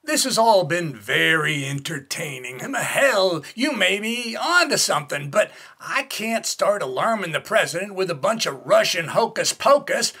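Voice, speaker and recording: A blunt, skeptical American official in a radio play, acted by a man. His high voice is gravelly and swings animatedly. He scoffs and demands, brash throughout. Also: big pitch swings, normal speed, hardly any pauses, sharp tone, full character voice. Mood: brusque, demanding. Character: skeptical, brash male senior government official. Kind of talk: radio drama acting.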